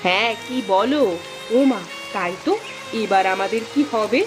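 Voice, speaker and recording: A woman voicing a worried, sharp-tongued village housewife in a moral-tale cartoon. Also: medium pitch, unhurried, over music, natural voice. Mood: alarmed.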